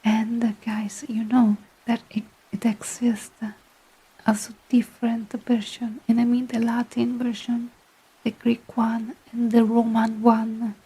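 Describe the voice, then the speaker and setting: A soft, whispery Italian woman talks close to the microphone in a slow, unhurried murmur. Her voice is mellow and very breathy, with a flat, gentle melody, and she pauses often on small fillers as she finds her English words. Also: a little high, poor audio. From Italy. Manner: whispery, hesitant, many fillers. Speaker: vtuber ASMR streamer. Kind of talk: soft-spoken ASMR storytelling and chat.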